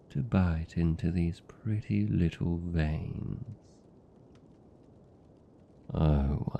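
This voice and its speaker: Casting: a man to play a menacing yet tender British vampire, extremely unhurried, slightly muffled sound, teasing intimate ASMR delivery. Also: a little hiss or hum, heavily acted. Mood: teasing, hungry. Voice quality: gravelly, dark, low. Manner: slow, hushed, deliberate, teasing. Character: seductive possessive male vampire captor, tender yet menacing. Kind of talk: intimate ASMR fantasy roleplay.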